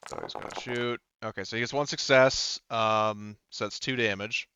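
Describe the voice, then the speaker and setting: A man's voice: on the low side, steady tone, moderate pace, sharp tone, clean tone, no room sound, dull audio. A man working as a tabletop game master, explaining rules and narrating combat in rambling, broken-off phrases peppered with filler words. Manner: rambling, talks in broken-off phrases with frequent 'like' and 'you know'.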